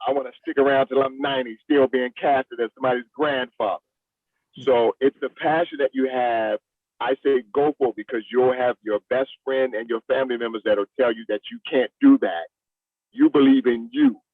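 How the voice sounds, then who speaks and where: A man in his fifties with a medium-pitched voice, talking casually and plainly as a guest actor. The recording is narrow, dull and very dry. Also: steady tone, normal speed, no echo. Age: 50s. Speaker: veteran actor, interview guest. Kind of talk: casual chat.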